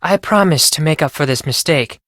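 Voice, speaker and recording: A man's voice: a little high, lively intonation, moderate pace, a bit bright, no echo, studio quality. A man playing an anxious, earnest teenage Japanese exchange student with a Japanese accent and formal, careful phrasing, in video game lines. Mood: desperate, remorseful.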